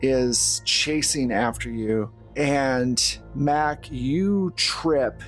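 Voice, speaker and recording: Game master, man, unhurried horror narration, music underneath. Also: medium pitch. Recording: music behind the voice.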